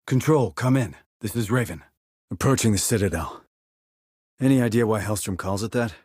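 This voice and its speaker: Low, husky, clipped military sniper played by a man. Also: moderate pace, a little mellow, dry recording, studio quality, lightly acted. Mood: cool, businesslike. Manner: clipped, controlled military delivery. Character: terse male military sniper operative, calm and focused. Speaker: voice actor in a video game. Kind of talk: tactical radio call acting.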